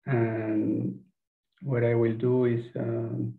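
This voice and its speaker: A man in his fifties with an Argentine accent, a native Spanish speaker, making a careful, halting comment on a video call through a headset. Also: mid-range voice, completely flat delivery, vocal fry. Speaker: audience member making a comment. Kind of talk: careful prepared remarks. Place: indoor room on a video call. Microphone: headset boom microphone. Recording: narrow phone-like video call audio.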